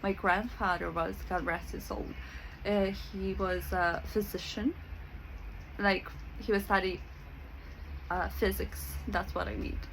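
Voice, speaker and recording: A woman's voice: medium pitch, steady tone, a little slow, gravelly. Serbian accent woman vlogging, casual and chatty, bit of faint hiss behind. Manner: chatty, many fillers, laughs often. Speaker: spiritual coach and YouTuber. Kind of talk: casual talk to camera.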